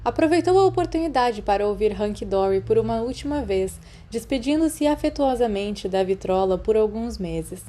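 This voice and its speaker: A woman's voice: a little high, normal speed, hardly any pauses, dark tone, a little hiss or hum. A woman with a Brazilian accent reading a scripted story as an audiobook narrator. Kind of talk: scripted fan fiction narration.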